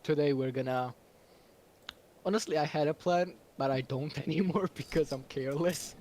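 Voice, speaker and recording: A man's voice: a little high, normal speed, darkish tone, a little hiss or hum. A man whose first language is Georgian, hosting a stream in casual chat, laughing often and rambling with fillers. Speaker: stream host.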